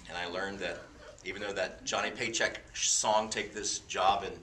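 Bright-voiced man preaching from a distance. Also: low-pitched, fairly even intonation, moderate pace, a little hiss or hum. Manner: jokey, self-deprecating asides. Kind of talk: informal sermon with personal stories. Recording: roomy sound from away from the mic.

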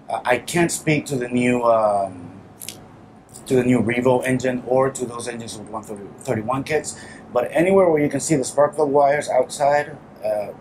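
A man's voice: medium pitch, a little mellow. A man, probably in his thirties, hosting a casual how-to vlog while he works in a small garage, speaking informally. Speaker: motorcycle vlogger, host. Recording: clearly noisy workshop ambience.

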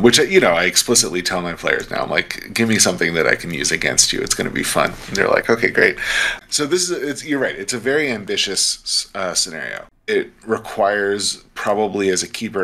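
A middle-aged man giving casual feedback in conversation, thinking aloud in a halting way. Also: low voice, bright, forceful tone. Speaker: game master giving feedback. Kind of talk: casual review discussion. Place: home room on a video call.

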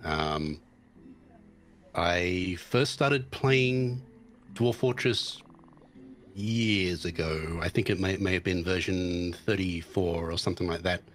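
A man's voice: sits low, takes it slowly, a little hiss or hum. A man guest streamer chatting casually about his game, hesitant with restarts, recovering from tonsillitis. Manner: hesitant, many restarts and ums.

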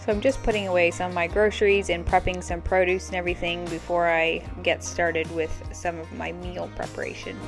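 Low-pitched American woman, chatty vlogger. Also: flat delivery, a little fast, few pauses. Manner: chatty, informal. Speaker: homemaking vlogger. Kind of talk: casual vlog voice-over. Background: background music.

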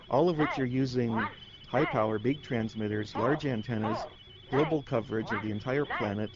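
A man explaining things as an interviewed expert on a radio feature. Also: mid-range voice. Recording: dull lo-fi, loud background noise.

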